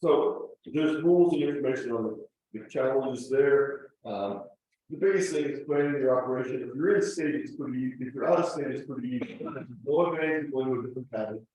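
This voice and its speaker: A man's voice: medium pitch, a little fast, darkish tone. A man giving a slide presentation at a club meeting, explaining step by step with frequent fillers. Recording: distant, roomy meeting-room recording.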